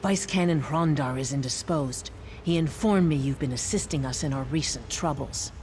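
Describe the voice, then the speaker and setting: An actress playing a formal, guarded town official in a fantasy video game, measured and stern. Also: female voice, sits low, a little mellow, a little hiss or hum, natural voice. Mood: grave, formal.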